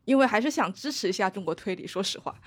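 A woman guest in a casual podcast chat, speaking in a soft, measured way with hesitant fillers. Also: medium pitch, animated.

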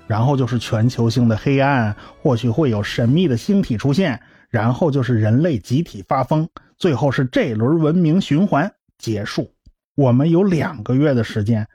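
A man's voice: medium pitch, a little mellow, husky. A man voicing an earnest, learned male scientist in an amateur radio drama, explaining at length in a lecturing way. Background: faint music.

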